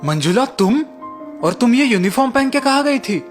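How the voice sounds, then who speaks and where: A man voicing a kind, humble young husband in a story cartoon, earnest and gentle in delivery. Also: extremely high pitch, animated, normal speed, soft background music. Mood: puzzled. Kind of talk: Hindi moral-story cartoon dubbing.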